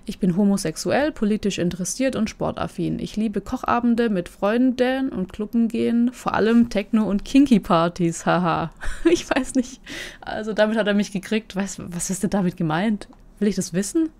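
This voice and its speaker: Gravelly-voiced woman chatting through listings. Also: medium pitch, moderate pace, a little mellow. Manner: chatty, musing, questions her co-host. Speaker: commentary host. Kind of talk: casual commentary reading online listings aloud.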